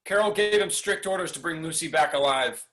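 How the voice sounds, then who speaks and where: A man plays a vengeful villain with a high, bright and tense voice. He is a bitter gunman who speaks in angry accusations, as in a drama table read. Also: brisk, close to an everyday voice. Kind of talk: script table-read acting.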